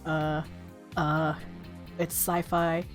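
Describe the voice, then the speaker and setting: A woman's voice: sits low, level tone, very slow. A woman hosting a casual let's play, rambling and self-deprecating, sometimes reading game text aloud. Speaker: gaming video host. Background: soft game music.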